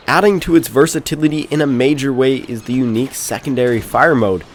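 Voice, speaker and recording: A man's voice: mid-range voice, slight background noise. A man with a stuffed-up, congested voice gives polite, scripted commentary over game footage as a channel host. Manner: polite, formal phrasing.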